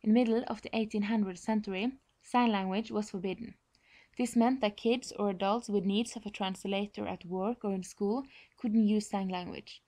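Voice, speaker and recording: A woman's voice: medium pitch, steady tone, moderate pace, dark tone. Norwegian-accented woman giving a presentation. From Norway. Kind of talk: prepared informational presentation.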